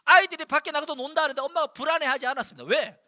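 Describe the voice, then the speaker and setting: A man in his forties from Daegu, preaching a sermon to high school students with animated storytelling and punchy repetition, speaking Seoul Korean with slight Daegu traces. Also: very fast, dry recording, clean studio sound. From Daegu, South Korea. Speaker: youth pastor. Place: church.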